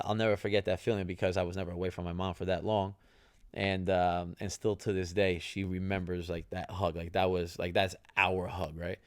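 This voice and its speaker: Low gravelly-voiced man telling stories. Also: no room sound, studio quality. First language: Italian. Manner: conversational, many fillers and tag questions. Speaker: podcast host, former soccer player. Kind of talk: casual storytelling.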